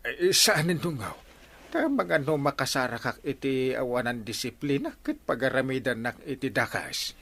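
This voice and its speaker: A man's voice: mid-range voice, lively intonation, normal speed, a bit bright. An actor with an Ilocano accent playing a flustered village captain in a comedy radio drama, stammering and hesitant as he makes sheepish excuses.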